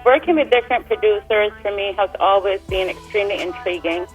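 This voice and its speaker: A woman's voice: mid-range voice, brisk, soft background music. Chatty woman singer. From Sweden. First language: Swedish. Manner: warm, chatty, laughs easily. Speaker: guest singer. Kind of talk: casual radio interview.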